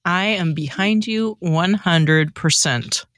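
A woman acting a frank, bright-voiced loyal friend. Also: fairly low pitch, takes it slowly, dry recording. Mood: wry, supportive. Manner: casual, wry. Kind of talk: amateur comic radio play acting.

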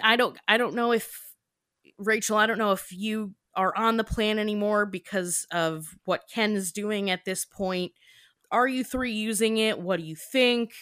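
A woman's voice: medium pitch, a bit bright, no room sound, studio quality. A young woman hosting a podcast in casual chat, chatty, laughing and trailing off into asides. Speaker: podcast host.

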